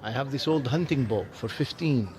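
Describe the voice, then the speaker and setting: A man's voice: mid-range voice, normal speed, background noise, close to an everyday voice. An actor voicing a plain-spoken old townsman and trader in an adventure game. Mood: plain, wary. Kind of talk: video game voice acting. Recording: reverberant sound with faint music behind.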